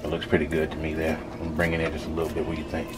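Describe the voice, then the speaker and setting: A man in his thirties hosting a casual cooking vlog in a small home kitchen, talking to viewers in a relaxed, slangy way. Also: a bass voice, rapid-fire. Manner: laid-back, slangy, talks to viewers as "y'all". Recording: loud hum behind voice.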